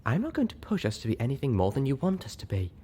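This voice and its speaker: A man voicing a gentle, refined female pony who speaks softly, properly and tactfully in a storybook reading. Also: mid-range voice, huge pitch swings, quick pace, husky, pitched above the performer's own voice. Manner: soft-spoken, purring, proper. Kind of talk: audiobook character voice.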